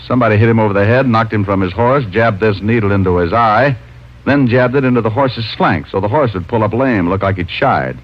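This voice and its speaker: Wry low-voiced American radio detective played by a man. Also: a little fast, close to an everyday voice. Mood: matter-of-fact. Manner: wry, glib, self-mocking. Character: wisecracking male private detective, narrating his own case. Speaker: lead actor and narrator. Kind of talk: 1940s-50s radio detective acting. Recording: dull old radio recording, music behind.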